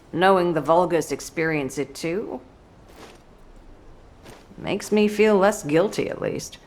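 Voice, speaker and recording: Lowish-voiced, battle-hardened mother. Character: battle-hardened mature mother, wry and resolute. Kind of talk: video game cutscene acting.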